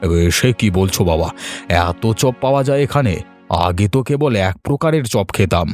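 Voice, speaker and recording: A man's voice: on the low side, lively intonation, moderate pace, a little mellow, natural voice. A man playing a genial, approving village headman in a cartoon fairy tale, talking in a hearty, avuncular way. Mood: curious. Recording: faint music bed.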